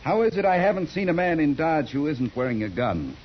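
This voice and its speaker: An actor playing a stiff, self-righteous bureaucrat in a 1950s western radio drama, formal and lecturing in manner. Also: male voice, fairly high pitch, big pitch swings, fairly quick. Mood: insistent. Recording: muffled, noisy 1950s radio recording.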